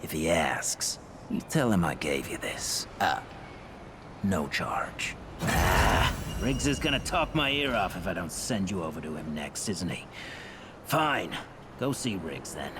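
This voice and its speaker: A deep, gruff, folksy merchant. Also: male voice, sing-song, a little slow, noisy background. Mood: grudging, exasperated. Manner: grumbling, wry, colloquial drawl with folksy grammar. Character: gruff, folksy, grudging male supply merchant. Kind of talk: video game character dialogue.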